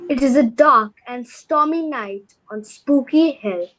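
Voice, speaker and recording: Female narrator for audio drama, slightly high pitch, very unhurried scripted storytelling. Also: noisy background. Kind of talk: scripted radio play narration.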